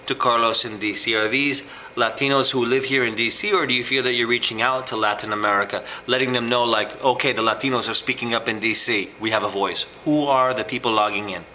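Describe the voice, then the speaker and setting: A man interviewing a guest, with a bright, crisp tone. He asks long, pointed questions and builds each one up to a challenge. A faint hiss runs underneath. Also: medium pitch, fairly even intonation, brisk.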